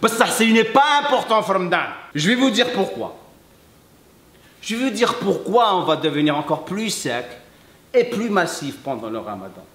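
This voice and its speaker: A man, a French speaker, talking earnestly to camera as a fitness coach, emphatic and halting, slipping between French and Arabic. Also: sits high, sing-song, a bit bright, a little hiss or hum. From Algeria. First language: English. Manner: emphatic, halting non-native French with repeats. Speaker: fitness coach and video host. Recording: echoey room.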